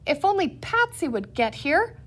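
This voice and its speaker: A woman's voice: high-pitched, sing-song, moderate pace, voice pushed up. A woman voicing an anxious, sensible young girl in a children's story, speaking earnestly. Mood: worried, urgent.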